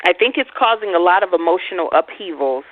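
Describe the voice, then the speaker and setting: A woman's voice: fairly low pitch, lively intonation, quick pace, dry recording. Young woman chatting over a tinny phone line. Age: young adult. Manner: hesitant, many ums, laughs easily. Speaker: astrology talk show co-host. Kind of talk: casual chat.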